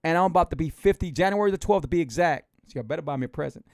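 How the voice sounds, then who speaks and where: Man, late 40s, livestream motivator: loose, repetitive, pep-talk energy, slightly high voice, dry close sound. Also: racing, vocal fry, dry recording. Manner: rambling, repetitive, animated and encouraging. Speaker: motivational speaker.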